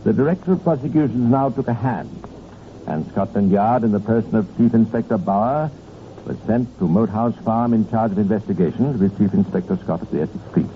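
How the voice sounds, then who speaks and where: A British man narrating a 1950s radio crime drama in a deliberate, dramatic, knowing way. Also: lowish voice, expressive, natural voice. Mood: measured, wry. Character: measured, knowing male crime-case narrator. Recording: tinny, noisy 1950s radio recording.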